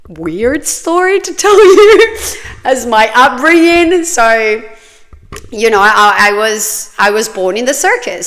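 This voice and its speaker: A woman's voice: mid-range voice, fairly bright tone. A woman, chatting casually and telling her own life story with plenty of fillers. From Australia. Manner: chatty, many fillers, laughs often.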